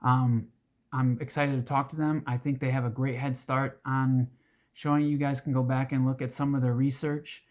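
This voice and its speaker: A man with a worn, raspy voice talks to his followers in an earnest, loose way, often restarting his sentences and clearing his throat. His pitch sits in an ordinary middle range. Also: flat delivery, moderate pace. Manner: earnest, rambling with restarts and fillers. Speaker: advocacy group organizer. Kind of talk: casual direct-to-camera talk.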